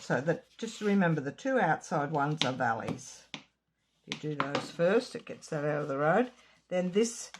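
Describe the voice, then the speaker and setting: A woman with a slightly croaky throat giving a chatty card-making tutorial, drifting into asides while she works. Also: deep voice, a little hiss or hum. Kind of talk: casual craft tutorial. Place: home craft room.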